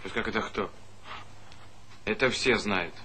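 A simple, stubborn countryman in a stage comedy. His voice is husky with a sharp, forceful edge. He speaks bluntly and with some indignation. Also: on the low side, unhurried, heavily acted. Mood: insistent. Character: stubborn, simple village man pestering officialdom. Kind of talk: Soviet stage comedy acting.

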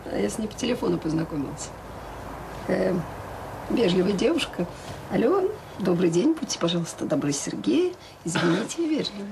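An actress playing a caring, wry, middle-aged mother in an audio drama, talking in a colloquial, gently teasing, frank way. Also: mid-range voice, sing-song, moderate pace, creaky. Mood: teasing, fond. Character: caring, wry, tired mother of a grown son. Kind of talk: naturalistic radio drama dialogue. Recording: slightly noisy 1980s audio drama recording.

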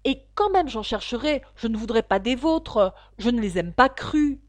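A woman's voice: on the high side, lively intonation, moderate pace, lightly acted. A woman voicing a polite, earnest little girl who protests and hesitates.

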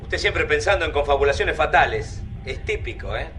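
An actor with an Argentine accent playing a brash, overconfident, scornful army major in a radio drama, pompous and reproachful. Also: male voice, a little high, lively intonation, moderate pace, a bit bright, faint music behind. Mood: scornful, indignant.

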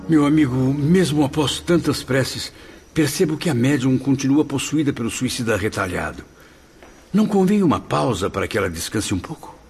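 An actor in a radio drama playing a concerned, respectful spirit visitor who speaks formally and earnestly. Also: male voice, medium pitch, animated, normal speed, a little mellow, faint music behind, lightly acted.

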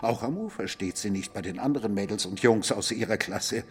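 An actor playing an insinuating police commissioner in a radio play, interrogating with theatrical, needling storytelling and pointed pauses. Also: male voice, lowish voice, soft background music, close to an everyday voice. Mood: insinuating, suspenseful. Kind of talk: German radio play acting.

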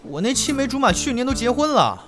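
A man playing an excitable twenty-year-old small-town idol in an audio drama. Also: very high-pitched, quick pace, faint music behind, exaggerated voice. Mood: indignant.

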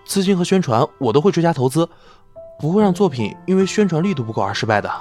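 A man's voice: a little high, animated, fast, darkish tone, faint music behind, close to an everyday voice. A man playing an eager, devoted young male celebrity in an audio drama, earnest and keen to please. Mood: eager, reassuring.

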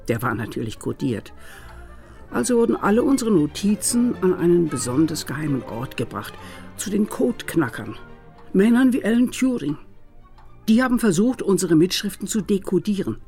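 A reticent old grandmother, animated pitch. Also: moderate pace, faint music behind. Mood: secretive, reminiscing. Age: elderly. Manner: hesitant, reticent, reminiscing. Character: elderly British grandmother, reticent former wartime intelligence worker. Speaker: former codebreaking-era intelligence worker. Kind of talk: radio drama dialogue and narration.

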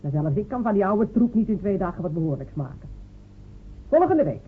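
An actress playing a sharp-tongued female village cobbler and loyal wife, who talks in a dry, teasing, stubborn way. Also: medium pitch, big pitch swings, brisk, breathy edge. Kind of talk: radio drama acting. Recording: phone-like old radio recording.

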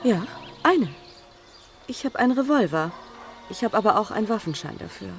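A woman's voice: mid-range voice, lively intonation, normal speed, faint music behind, close to an everyday voice. An actress playing a genteel married woman under suspicion, airy, rueful and evasive, in a radio crime drama. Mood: evasive, uneasy.

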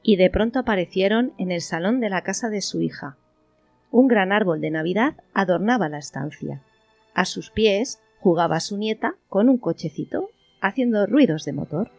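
A woman's voice: medium pitch, expressive, normal speed, gravelly, darkish tone, no room sound, faint music behind. A woman with an accent from Spain, narrating an amateur radio play as a scripted story.

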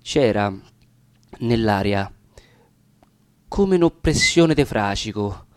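A man with a Roman accent reciting narrative dialect verse theatrically, with deliberate, expressive pauses. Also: on the low side, smooth. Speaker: actor reading poetry.

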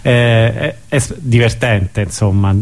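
A man's voice: mid-range voice, a little slow, darkish tone. A man hosting a radio interview in a casual, conversational style, putting questions to his guests.

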